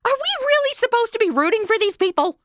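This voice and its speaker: A woman's voice: extremely high pitch, very animated, quick pace, a bit bright, no echo, clean studio sound, heavily acted. An actress playing a sassy, impatient, easily bored modern young woman, sarcastic and slangy, in a comedy audio drama. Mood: outraged.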